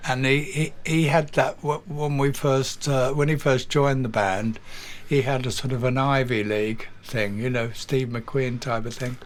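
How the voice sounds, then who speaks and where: An older English man, a guest drummer, telling stories in a casual interview, halting with many fillers and repeated words. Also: mid-range voice, fairly even intonation. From England.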